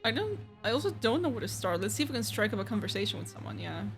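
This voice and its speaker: A woman's voice: fairly high pitch, sing-song, rapid-fire, soft background music. A woman streamer giving casual commentary on a game, thinking aloud as she reacts.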